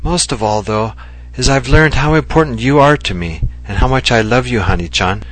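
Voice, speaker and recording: A man voicing a gentle, loving Japanese wife who speaks earnestly and reflectively. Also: mid-range voice, moderate pace, voice pushed up, natural voice, poor audio. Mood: earnest, tender. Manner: earnest and heartfelt, softly reflective.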